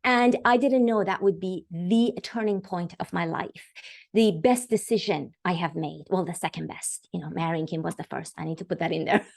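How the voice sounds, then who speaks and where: A woman's voice: lowish voice, fairly even intonation, moderate pace, creaky, a little mellow. A middle-aged woman from Iran, a podcast guest telling her career story in an enthusiastic, chatty way with fillers. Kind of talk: podcast interview.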